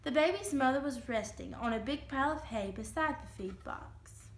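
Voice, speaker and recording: A woman with a high voice narrates a children's story as a dramatic reading. Her delivery is expressive, and the room adds noticeable echo around her words. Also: normal speed. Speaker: reader giving a dramatic reading. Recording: roomy, echoing room sound.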